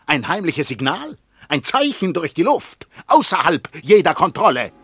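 An actor playing a guilt-ridden, hallucinating murderer who repeats himself obsessively in a poetic radio drama. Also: male voice, a little high, very animated, normal speed, noisy background. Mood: self-justifying. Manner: obsessive repetition, swinging from whispering to crying out. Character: guilt-ridden child murderer, tormented, hallucinating, crumbling in terror.

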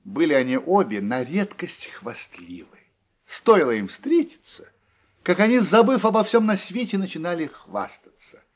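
A man narrating a folk tale in a husky, expressive storytelling manner. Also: fairly high pitch, moderate pace.

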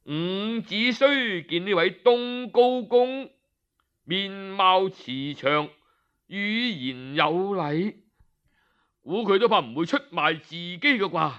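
A man with a Cantonese accent narrating a traditional tale in an expressive, colloquial storyteller's manner. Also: high voice, slow pace, smooth, highs cut off.

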